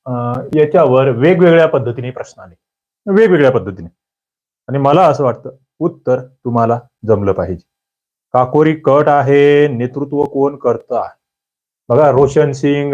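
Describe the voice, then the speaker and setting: Casting Male exam-prep teacher, somewhat high voice, quizzing students, muffled lo-fi audio. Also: darkish tone. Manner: quizzing, demanding quick answers. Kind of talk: quiz-style lecture. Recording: muffled low-fidelity recording.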